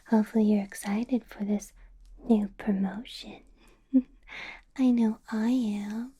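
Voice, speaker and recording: A woman's voice: mid-range voice, breathy edge. A woman whispering as a possessive, menacing female vampire boss in an ASMR roleplay, sweet on the surface with a threatening edge. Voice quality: soft unvoiced whisper.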